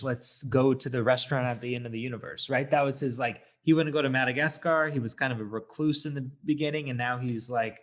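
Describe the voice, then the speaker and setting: A man co-hosting a movie review podcast, talking in a casual, opinionated way. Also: mid-range voice. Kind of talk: casual film discussion.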